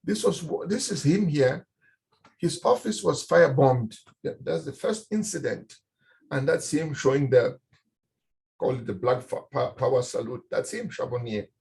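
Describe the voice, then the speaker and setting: Halting gravelly man from Cameroon. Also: medium pitch, darkish tone, low-quality recording. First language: French and English bilingual. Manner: halting, repeats words and restarts often. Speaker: panelist. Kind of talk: conference panel talk.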